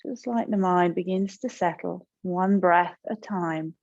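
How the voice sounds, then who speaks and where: Gentle woman guiding meditation, slightly muffled. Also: fairly low pitch. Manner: gentle, calm, unhurried with pauses. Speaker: yoga and meditation teacher. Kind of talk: guided meditation instruction.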